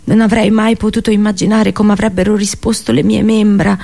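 An actress playing an anguished, passionate captive princess and seer in an intimate, poetic radio monologue. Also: female voice, mid-range voice, little pitch movement, fairly quick, creaky, dark tone. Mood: tender, longing. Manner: intimate, poetic, intense delivery.

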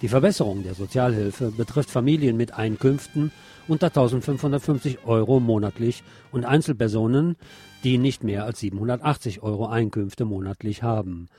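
A man's voice: medium pitch, brisk, mellow, husky, soft background music. A man presenting scripted news with commentary, explaining items in an opinionated way.

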